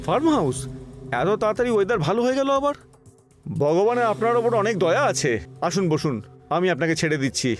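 Young cartoon driver: high voice, startled, curious, animated delivery for a horror tale. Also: male voice, a little fast, long pauses, faint music behind. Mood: surprised. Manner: animated, questioning.

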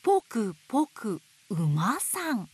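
A woman playing a gentle, motherly owl teacher who reads picture books to toddlers with playful sound words. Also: mid-range voice, big pitch swings, slow. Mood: playful storytelling. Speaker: picture book storyteller for babies. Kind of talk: picture book read-aloud for toddlers. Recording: faint background noise of nature. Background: nature sounds.

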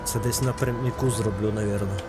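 Guy streaming a racing game, chatty, cusses, music loud behind him. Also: on the low side, steady tone, moderate pace. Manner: chatty, swears, talks to chat by name. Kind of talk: casual live-stream chat while racing. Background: game music and engine sounds.

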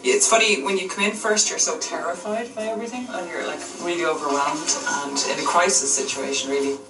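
A woman's voice: lowish voice, fairly even intonation, a little fast. Woman chatting, roomy with music. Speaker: lay litigant interviewee. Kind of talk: casual personal account. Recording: roomy, distant, music behind.

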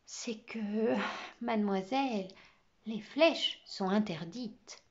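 A woman's voice: high voice, big pitch swings, normal speed, dull audio, voice pushed up. A woman reading a children's storybook, voicing young pupils who answer their teacher in a polite, childlike way. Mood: hesitant, reluctant. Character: schoolchildren, boys and girls, hesitant or excitable pupils.

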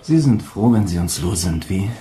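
A man's voice: deep voice, lively intonation, normal speed, background noise. Blunt, impatient male investigator. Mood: probing. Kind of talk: German radio crime drama acting.

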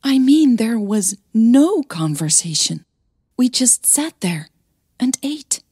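A woman's voice: on the high side, big pitch swings, slow pace, dark tone, husky, no echo, clean studio sound, pitched above the performer's own voice, exaggerated voice. A woman voicing an anxious, exasperated young woman in an audio drama, emphatic with her mother. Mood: distressed.

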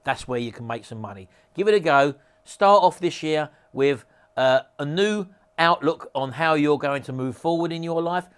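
Male, 40s, informal presenter selling a course, slightly higher-pitched voice, encouraging and unpolished plain talk, camera a few feet away. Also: expressive, normal speed, clean tone. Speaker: presenter promoting an auction reselling course. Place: small cluttered home office.

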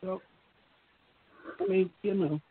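A man's voice: high voice, steady tone, slow pace, breathy edge. A man co-hosting a casual talk show, speaking bluntly and emphatically with a lot of profanity. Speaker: co-host. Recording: tinny phone line.

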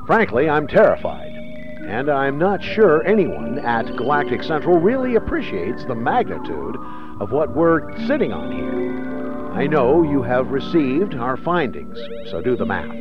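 A man's voice: medium pitch, wildly swooping pitch, a little slow. An actor playing an anxious, exasperated male alien agent, officious and sarcastic, dictating a report in a radio drama. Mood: sarcastic, alarmed. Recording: dull sound with music behind.

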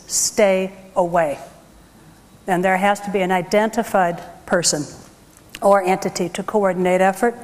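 An older woman speaking as a panelist on a conference stage, measured and articulate. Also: mid-range voice. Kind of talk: panel discussion remarks. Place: large conference hall stage. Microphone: lapel. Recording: long hall reverb.

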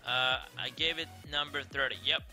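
A man's voice: medium pitch, fairly even intonation, normal speed, sharp tone, faint music behind. A young man from Greece with a Greek accent, chatting loosely with viewers on a game live stream, full of fillers and "crazy". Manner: loose and rambling, many fillers, keeps saying crazy. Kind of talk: casual live stream chat, reading viewer comments. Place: indoor room, seen on webcam.

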